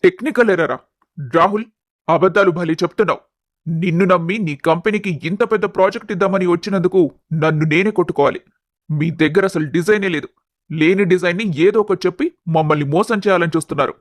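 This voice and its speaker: Casting: man to play curt, irate business client in an audio drama, high male voice, dry recording. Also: fairly quick, no room sound, lightly acted. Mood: scornful. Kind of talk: dramatised audio story acting.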